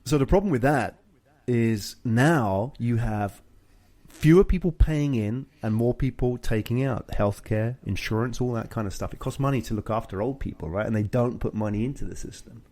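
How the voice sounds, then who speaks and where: A man's voice: mid-range voice, darkish tone. A British man hosting a conversational discussion, explaining things and often checking in with short tag questions. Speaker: show host. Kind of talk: conversational discussion of personal finance.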